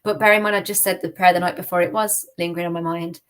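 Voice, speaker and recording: A woman's voice: on the low side, steady tone, fast talker. British woman sharing informal personal testimony. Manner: informal, peppers speech with "like". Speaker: podcast guest.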